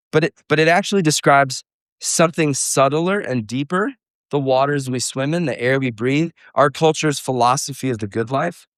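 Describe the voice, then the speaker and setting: A man's voice: medium pitch, dry recording, clean studio sound. A man speaking at a homeschool workshop, lecturing parents in an earnest, reflective way and weaving in quotes and asides. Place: live workshop room.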